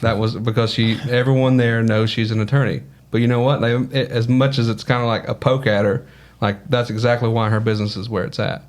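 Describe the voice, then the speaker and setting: A man's voice: mid-range voice, level tone, fairly quick. Southern guy on a podcast, easygoing, kinda rambly. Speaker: podcast guest. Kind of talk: casual podcast conversation.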